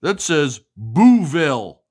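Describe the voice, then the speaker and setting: Very animated, low-pitched woman reading storybooks. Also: takes it slowly. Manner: animated, sing-song, asks the child questions. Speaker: host reading storybooks to a child. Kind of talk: expressive children's storybook read-aloud with chat.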